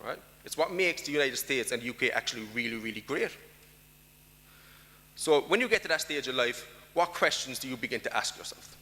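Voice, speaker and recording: Bright-voiced Irish man giving a talk. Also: mid-range voice, animated, brisk, big-room sound. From Ireland. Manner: emphatic, rhetorical questions to the audience. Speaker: conference speaker. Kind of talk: conference talk. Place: large conference hall.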